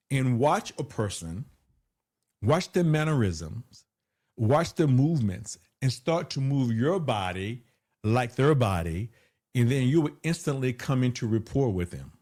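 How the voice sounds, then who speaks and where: A man's voice: mid-range voice, big pitch swings, measured pace, a little mellow. A man being interviewed as a guest, who says he has a big voice, speaking emphatically and repeating phrases for stress. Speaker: guest, hypnotherapist. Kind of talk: conversational interview.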